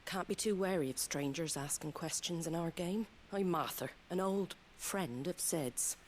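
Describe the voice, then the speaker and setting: An actress playing a wary, plain-spoken innkeeper in a video game. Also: female voice, fairly low pitch, a little slow, a little hiss or hum, natural voice. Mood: helpful. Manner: guarded, plain-spoken, folksy. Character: wary, blunt woman innkeeper. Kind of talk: game dialogue.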